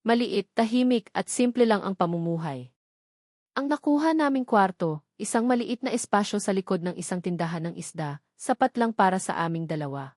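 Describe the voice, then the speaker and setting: Female narrator for romantic audio drama, medium pitch, emotional scripted first-person delivery, very dry sound. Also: expressive, moderate pace, a little mellow, no echo. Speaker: story narrator.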